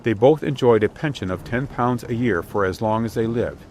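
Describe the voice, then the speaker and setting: A man's voice: lowish voice, normal speed, a little mellow, slight background noise. A man narrating an old adventure storybook in a scripted, dramatic reading style. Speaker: audiobook narrator. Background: outdoor ship ambience.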